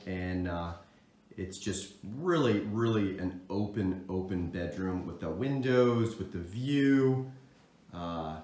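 A man in his thirties, a real estate agent, narrating a walk-through home tour on a handheld phone indoors. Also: on the low side. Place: indoors, hallway and rooms of an apartment. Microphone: phone held at arm's length. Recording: echoey rooms with background noise.

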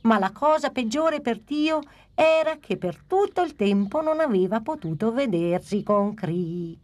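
A woman giving calm, measured scripted narration for a dubbed cartoon. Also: a little high.

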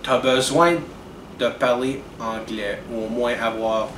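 Young man, earnest and deliberate, far from the mic, air-conditioner hum. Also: mid-range voice, fairly even intonation, reverberant. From Canada. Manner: earnest, deliberate, with frequent restarts and hand gestures. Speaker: video blogger. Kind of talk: direct-to-camera commentary. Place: indoors in front of a dark curtain.

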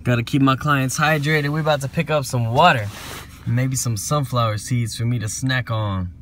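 A man's voice: medium pitch, fairly even intonation, moderate pace, a bit bright. A man in his twenties talking casually to camera like a gym trainer, in an upbeat, slangy, folksy way. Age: 20s. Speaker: gym owner and personal trainer. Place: inside a car. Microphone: phone at arm's length. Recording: noisy car interior with engine and road hum.

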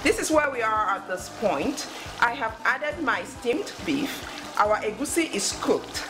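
A woman's voice: on the high side, sing-song, unhurried. A woman hosting a cooking show, giving step-by-step instructions in short phrases. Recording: music under the voice.

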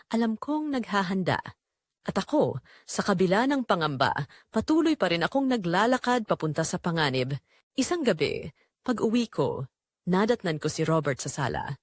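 A woman's voice: mid-range voice, dry recording, studio quality. A woman narrating a scripted dramatic story in the first person.

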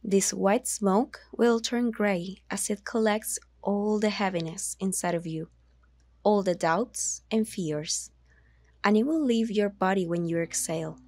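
A woman narrating a guided meditation in a soothing, measured manner, leaving long pauses between instructions. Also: mid-range voice, a little mellow, no room sound.

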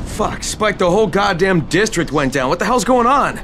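A man's voice: sits high, sing-song, moderate pace, heavy background noise. An actor playing a tough male survivor in a video game, talking bluntly and swearing under pressure. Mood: alarmed. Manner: profane, urgent. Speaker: game protagonist on radio.

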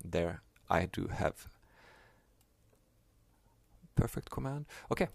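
A man whose first language is Swedish, explaining a software task step by step in a plain, unhurried way. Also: deep voice, lively intonation, gravelly, breathy edge. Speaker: software tutorial maker. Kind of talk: screen-recorded software tutorial.

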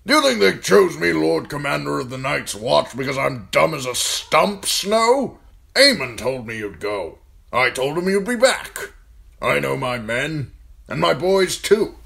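A man voicing a gruff older military commander in an audiobook, talking bluntly and firing off needling questions. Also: fairly high pitch, big pitch swings, unhurried, a bit bright, voice pushed up, full character voice. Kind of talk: audiobook character voice.

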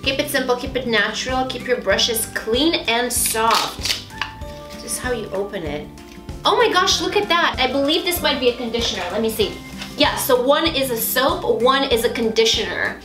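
A bubbly woman gushes over beauty products in a home vlog. Her voice sits fairly high and carries a roomy echo. A music bed plays underneath. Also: expressive, moderate pace. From Romania. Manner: bubbly and gushing, with squeals. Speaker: beauty vlogger. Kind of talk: unboxing haul vlog. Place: small bright indoor home studio.

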